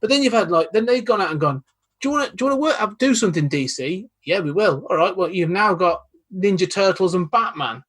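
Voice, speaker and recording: A young man joins a casual podcast as a guest and talks in a fairly high voice with a husky, slightly breathy edge. He rambles eagerly and often restarts his sentences as ideas pile up. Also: animated, fast, a bit bright.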